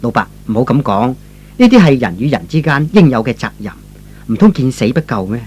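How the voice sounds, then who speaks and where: A man's voice: mid-range voice, sing-song, fairly quick, darkish tone, breathy edge, voice pushed down. A man playing an earnest, modest and chivalrous young man in a radio melodrama, speaking politely and theatrically. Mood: humble, polite.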